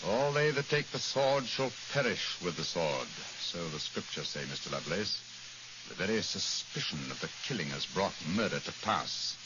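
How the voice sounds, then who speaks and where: An actor playing a keen, commanding British detective in 1940s radio style, speaking in a crisp, deliberate, authoritative manner. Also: male voice, deep voice, lively intonation. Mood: grave. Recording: dull, noisy old radio recording.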